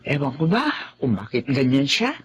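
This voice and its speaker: A woman's voice: rumbling low, sing-song, normal speed, slight background noise. An actress playing a forgiving, weary elderly mother and grandmother with a Filipino accent in a TV drama. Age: older.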